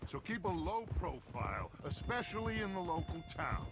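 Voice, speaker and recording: An actor playing a charismatic middle-aged American outlaw gang leader, speaking in a persuasive, theatrical, grandiloquent way in a western game. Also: male voice, on the high side, very animated, moderate pace, over music. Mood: hopeful, commanding. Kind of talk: dramatic western game cutscene acting.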